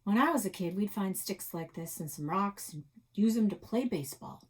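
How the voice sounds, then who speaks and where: Woman reading as a smooth friendly realtor guy in a kids book, easygoing and persuasive. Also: medium pitch, expressive, moderate pace, a little mellow, recorded from a distance. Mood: affable. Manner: affable, persuasive.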